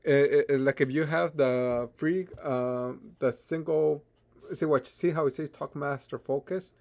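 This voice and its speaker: A man giving an unscripted software tutorial in a halting way, often stammering and repeating his words as he goes. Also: a little high, steady tone. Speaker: tutorial presenter.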